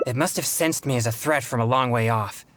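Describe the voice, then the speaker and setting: A man playing an earnest, polite teenage boy exorcist in a video game, speaking in a formal, measured way. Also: mid-range voice, a bit bright, close to an everyday voice. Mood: serious, focused.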